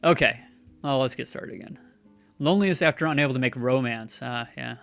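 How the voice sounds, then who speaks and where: Gravelly-voiced man rambling over a game. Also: mid-range voice, fairly quick, a bit bright, faint music behind. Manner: chatty, sarcastic, talks back to the game's characters. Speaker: streamer playing a game. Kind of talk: rambling live-stream commentary.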